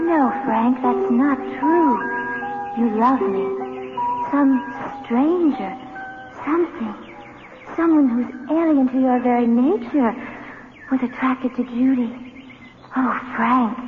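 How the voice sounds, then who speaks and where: Haunting female lover for vintage radio play, higher voice, devoted and insistent, tinny broadcast with music. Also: slow pace, pauses often, long reverb tail. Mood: tender, pleading. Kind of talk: 1970s radio drama acting.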